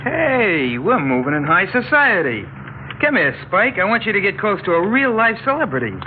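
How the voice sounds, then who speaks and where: A menacing young gang boss in a 1960s radio play. His highish, animated voice taunts with slangy false courtesy, over a muffled old recording with faint noise. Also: moderate pace. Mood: taunting. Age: young adult. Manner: taunting, mock-polite, slangy. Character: cocky, menacing young male gang leader. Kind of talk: 1960s radio drama acting.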